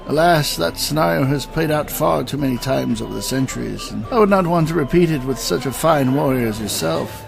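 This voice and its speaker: A man's voice: fairly high pitch, lively intonation, brisk, creaky, few pauses, over music. Man playing a stately, regretful immortal knight. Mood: solemn regret. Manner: formal, stately, archaic phrasing. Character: weary immortal old warrior knight, honorable and regretful. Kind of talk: fantasy audio drama acting.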